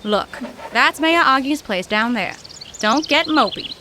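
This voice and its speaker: Woman acting a high, lively, plucky small-town gal. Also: a little slow, a little hiss or hum, heavily acted. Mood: breezy, teasing. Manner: folksy old-fashioned slang. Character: plucky, brisk small-town woman, Sonya, loyal but alarmed. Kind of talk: audio drama acting.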